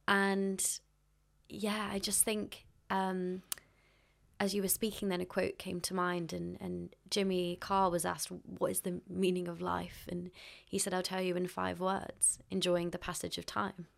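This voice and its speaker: A woman hosting a podcast, reflecting back on her guest's points with soft affirmations. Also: fairly low pitch, flat delivery, measured pace, creaky. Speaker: podcast host. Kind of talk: interview, listening and reflecting.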